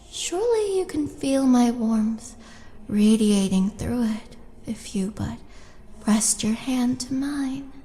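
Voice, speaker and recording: A woman playing a tall, lonely orc oracle in an intimate roleplay audio drama, speaking softly and coaxingly with breathy, whispered touches. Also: fairly high pitch. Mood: coaxing, seductive. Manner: slow, coaxing, intimate, halting. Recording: long reverb, music behind.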